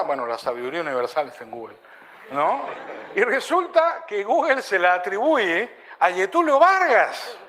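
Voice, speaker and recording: An older man lectures on law and weaves in anecdotes. His pitch swings widely and sits a little high. He keeps prodding the class with rhetorical asides. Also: a little hiss or hum. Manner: animated storyteller, rhetorical asides. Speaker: law professor.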